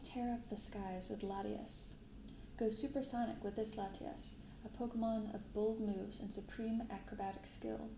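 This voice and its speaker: A soft-spoken woman hosting an unhurried ASMR-style unboxing, recorded in an untreated apartment room. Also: medium pitch, little pitch movement. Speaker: host of a card unboxing channel. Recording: roomy untreated apartment, clear background noise.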